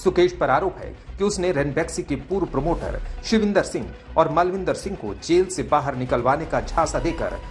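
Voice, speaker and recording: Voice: medium pitch, animated, normal speed, hardly any pauses. A news narrator reading a scripted report. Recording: music bed under voice. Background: background music.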